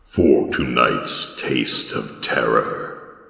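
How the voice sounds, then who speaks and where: Guy as creepy horror radio host, super slow and gravelly, big cavernous echo. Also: rumbling low, bright, forceful tone, full character voice. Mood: ominous. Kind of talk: retro radio horror narration.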